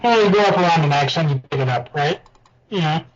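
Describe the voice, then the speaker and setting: A man's voice: on the high side, expressive, fast. A man chatting on a podcast, telling anecdotes and laughing at them. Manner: chatty, storytelling, laughing at his own anecdotes. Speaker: podcast panelist. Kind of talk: casual chat. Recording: dull lo-fi mic.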